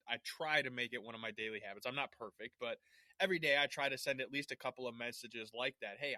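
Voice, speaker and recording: A man's voice: mid-range voice, sharp tone, no room sound. A man hosting a sales podcast, speaking in an earnest, affirming way as he interviews his guest. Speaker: podcast host.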